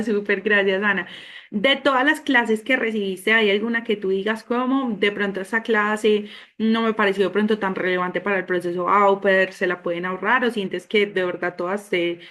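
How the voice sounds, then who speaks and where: A woman who works for the agency and interviews a participant. She is encouraging and expressive. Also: mid-range voice, normal speed.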